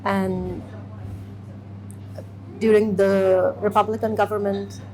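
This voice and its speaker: A woman in her thirties from Afghanistan, giving a measured personal testimony with pauses and restarts. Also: medium pitch, flat delivery, a little mellow, slight background noise, low-quality recording. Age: 30s. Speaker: interviewee.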